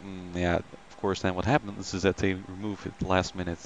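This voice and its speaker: A man's voice: low-pitched, flat delivery, normal speed, slight background noise. A man hosting a flight simulator live stream, chatting casually with many ums and greeting viewers by name.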